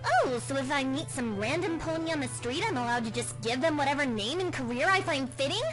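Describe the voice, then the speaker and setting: Lady doing a huffy little mare calling a radio play show, really high voice, all over the place, music behind. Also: animated, fairly quick, low-quality recording. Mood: indignant. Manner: huffy, emphatic complaining. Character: indignant female pony caller, fed up and huffy.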